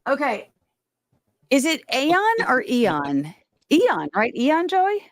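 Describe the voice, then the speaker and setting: A woman's voice: high voice, huge pitch swings, slow pace, no room sound. A woman hosting a casual livestream, talking in a rambling, self-interrupting way. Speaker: livestream host.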